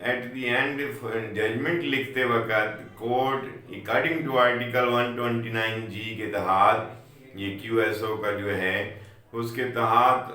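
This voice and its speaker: A man with a Pakistani accent lectures on law at a slow, deliberate pace. His voice is bright and forceful, and he repeats key points with English legal terms mixed in. The room echoes heavily around him. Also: mid-range voice, fairly even intonation. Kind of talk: explanatory legal lecture with worked examples.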